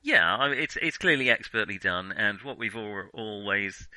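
A man's voice: fairly low pitch, expressive, moderate pace, sharp tone, dry recording, poor audio. A man co-hosting a casual film discussion, hesitant and often correcting himself as he thinks aloud. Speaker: film discussion podcast co-host.

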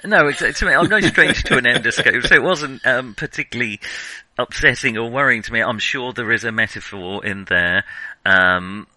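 A male podcast host with a very bright, sharp-edged voice, recorded dry and close. He talks casually about films in a wry, self-deprecating, rambling way, feeling for his words as he goes. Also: medium pitch, moderate pace, no room sound, rough recording. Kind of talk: casual film discussion.

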